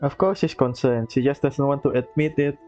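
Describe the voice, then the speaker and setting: A game streamer making flat, repetitive casual remarks to viewers over gameplay. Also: medium pitch, brisk, muffled recording, soft background music.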